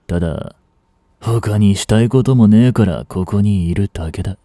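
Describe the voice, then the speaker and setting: A man acting as a cynical, aloof young street delinquent in a voice drama, with rough, casual, lazily teasing speech, close to the mic. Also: lowish voice, moderate pace, dark tone, no room sound, close to an everyday voice. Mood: aloof, dismissive. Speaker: voice actor. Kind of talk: Japanese voice drama aimed at women, one-sided dialogue. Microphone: close studio mic. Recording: dry close-miked studio recording.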